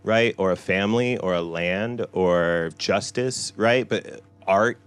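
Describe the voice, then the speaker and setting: A man's voice: low voice, slow pace, smooth. A man in his thirties who grew up in Iran, an author talking loosely and candidly at a bookstore event, filling his speech with "right?" and "you know". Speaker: author at a book talk. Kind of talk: candid conversational talk.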